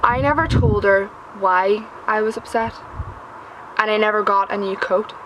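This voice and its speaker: A woman's voice: medium pitch, steady tone, normal speed, a bit bright, a little hiss or hum, close to an everyday voice, poor audio. A young woman with a Northern Irish accent playing a sardonic working-class schoolgirl telling her story in a conversational stage monologue. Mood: regretful. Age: young adult. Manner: conversational storytelling, sweary, mimicking others. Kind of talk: theatrical monologue.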